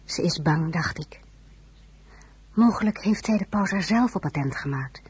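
A woman playing a pensive young narrator of her own memories, intimate and reflective, with a breathy husky voice. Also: lowish voice, animated, moderate pace, a little mellow, no echo. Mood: pensive, pitying. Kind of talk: scripted first-person narration.

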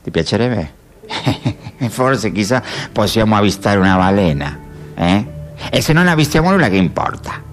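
A tender, playful lover, played by a man in a radio drama. His voice is low, with faint music around it. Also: big pitch swings, unhurried. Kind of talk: radio play acting.

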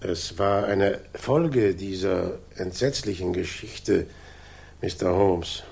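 An actor playing a stiff, grieving upper-class colonel, formal and reserved, with a gravelly, husky voice in a 1960s German radio drama. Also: male voice, sits low, takes it slowly, a little mellow, slight background noise, full character voice. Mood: grave, bitter.

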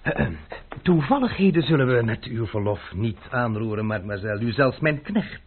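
An actor playing a self-assured gentleman adventurer who is dry and mocking with a lady and imperious with his servants, in an old radio play. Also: medium pitch, expressive, moderate pace, slight background noise, lightly acted. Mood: probing. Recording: tinny old radio broadcast.

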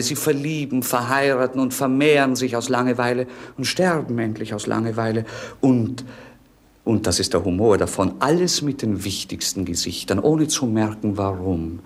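An actor playing a melancholy, bored young prince with witty irony, in a theatrical 1950s German radio drama style. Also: male voice, medium pitch, lightly acted. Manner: theatrical, ironic, declamatory. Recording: 1950s radio play recording.

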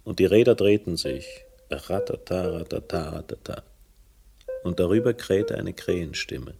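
A man's voice: low-pitched, moderate pace, gravelly, over music. A man playing a world-weary, hard-drinking, self-mocking character who narrates and speaks in a noir-like radio drama. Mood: brooding.

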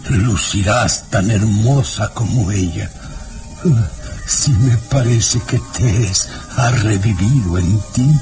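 An actor playing an older baron, an eerily doting father, speaking slowly and insistently in a radio drama with music behind. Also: lowish voice. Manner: slow, insistent, with dry chuckles. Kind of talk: 1960s radio drama acting.

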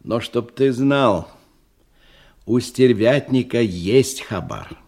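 A man's voice: medium pitch, slow, a little mellow. A man in a Russian radio drama playing a menacing, condescending crime boss who questions impatiently and broods. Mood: threatening, commanding. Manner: bullying, interrogating, repeats himself broodingly.

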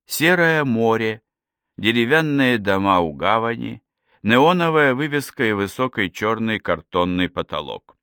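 Deep-voiced male audiobook narrator, dry sound. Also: very animated, a little slow, a bit bright, clear, resonant tone, dry recording. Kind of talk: scripted literary narration.

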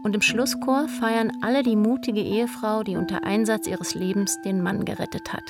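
A woman's voice: medium pitch, fairly even intonation, moderate pace. A woman reading a scripted synopsis as a storytelling narrator. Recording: clear music behind the voice. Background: instrumental music.

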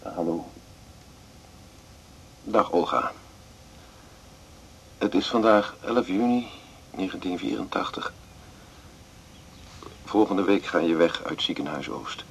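A very deep-voiced, gravelly man in a radio play, leaving an intimate cassette message. He is halting, tender and melancholy, with faint ambient noise around him. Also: heavily acted. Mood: hesitant, wistful. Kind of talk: naturalistic 1980s radio drama monologue.

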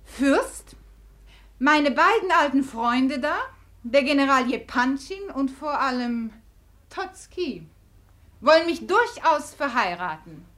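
A proud Russian society woman, speaks in a high voice. She is imperious and mocking, with fiery theatrical delivery in the manner of 1950s radio drama. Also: very animated, slow pace, a bit bright, exaggerated voice. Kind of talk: 1950s German radio play acting.

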